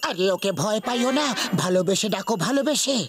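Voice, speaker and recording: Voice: mid-range voice, a little fast, a little hiss or hum, lightly acted. A voice actor dubbing a thin, clever young cartoon man who chides and coaxes his friend. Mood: coaxing. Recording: dubbed cartoon with loud music underneath.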